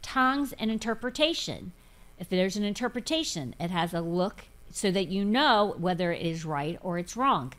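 A woman's voice: medium pitch, moderate pace. A woman in her fifties hosting a faith podcast, talking emphatically in a casual way at a desk mic in a studio. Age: 50s. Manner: animated, emphatic, gestures a lot. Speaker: podcast host. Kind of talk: casual religious talk. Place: studio set with floral backdrop.

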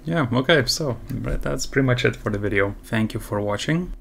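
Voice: medium pitch, lively intonation, moderate pace, gravelly. A gaming video host giving casual reaction commentary.

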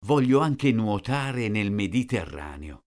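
A man slowly reading out short sentences, each one twice, for a language lesson. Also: fairly low pitch, sing-song, darkish tone. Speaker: language teacher. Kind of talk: slow repeated phrase reading.

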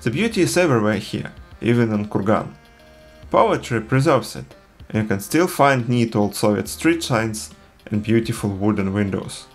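A man's voice: fairly low pitch, expressive, faint music behind. Man narrating a travel film. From Russia. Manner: earnest, measured storytelling. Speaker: travel vlogger.

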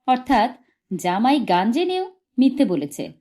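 Expressive woman reading a story in mellow tones. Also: a little high, unhurried. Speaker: audiobook reader. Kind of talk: scripted literary narration, expressive storytelling.

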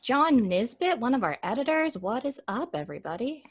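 A woman's voice: a little high, big pitch swings, a little fast, husky. A young woman chatting casually and playfully on a live stream from home. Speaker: podcast voice performer on a live stream. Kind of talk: casual chat. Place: indoors at home.

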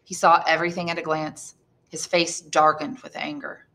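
A woman reading a classic novel aloud as an expressive storyteller. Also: low-pitched, normal speed. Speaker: audiobook reader, English teacher. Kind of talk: expressive scripted novel narration.

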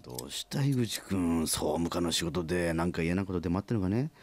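A man's voice: low voice, expressive, a little fast, dry recording, pitched below the performer's own voice. A man playing a rambling, jovial middle-aged small-factory president who loves his own puns, in a comic radio drama. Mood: jokey, coaxing.

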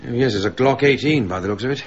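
A man playing a mild older English ex-army gentleman in a radio drama, polite, hesitant and drily understated. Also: medium pitch, fast talker, slightly rough, highs cut off, lightly acted.

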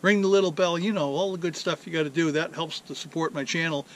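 An older man hosting his DIY channel, talking in a relaxed, rambling way with many fillers, standing outdoors by a rural driveway. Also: a little high, fast, slight background noise. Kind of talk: casual workshop vlog.

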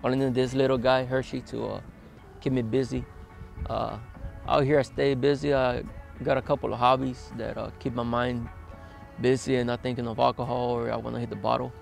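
Flat gravelly guy in his 20s talking outside, lots of uh pauses. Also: medium pitch, faint music behind. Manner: plain, halting, with many "uh" pauses. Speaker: interviewee. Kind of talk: documentary interview, recounting his past. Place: outdoors in a grassy desert yard.

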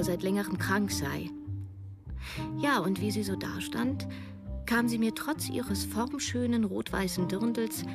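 A woman's voice: mid-range voice, vocal fry. An actress playing a broke, self-doubting writer in her thirties, narrating a radio drama in the first person. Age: 30s. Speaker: narrator and lead.

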